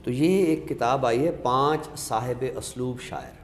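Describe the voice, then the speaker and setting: A man with a Pakistani accent, giving a literary talk with recited verse in a measured, explanatory way with pauses. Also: mid-range voice. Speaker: host of an Urdu literature show. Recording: echoey reverberant room, faint noise.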